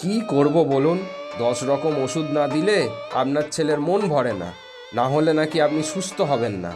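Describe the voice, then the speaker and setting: A man plays a sensible, wry doctor in an animated cartoon. His voice sits a little high and he talks in a matter-of-fact, drily teasing way. Music runs clearly underneath. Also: moderate pace, lightly acted. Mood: agreeable, reassuring. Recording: music behind the voice.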